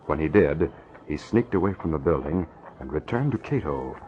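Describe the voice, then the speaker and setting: Deep-voiced old-time radio narrator, a man. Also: expressive, moderate pace. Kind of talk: scripted radio drama narration. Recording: dull old radio recording, faint noise.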